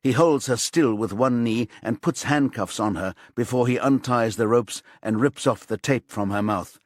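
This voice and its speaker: Male narrator for a thriller audiobook: measured, suspenseful delivery, medium pitch, dry studio-like sound. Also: dry recording.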